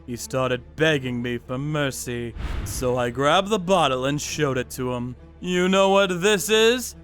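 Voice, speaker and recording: Manga dub vigilante played by a man: vengeful, contemptuous, slow deliberate delivery with wide swings, music underneath. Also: on the high side, lively intonation, fairly bright tone. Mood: menacing. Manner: menacing, mocking, contemptuous. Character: cold vengeful vigilante torturer, narrating his own revenge jobs. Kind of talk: first-person manga dub narration with acted dialogue.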